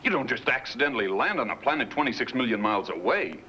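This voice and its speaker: A man acting in a 1950s science fiction film as a forceful, sceptical officer of a space crew. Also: mid-range voice, sing-song, fast talker, a little hiss or hum. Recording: dull old film soundtrack, faint music.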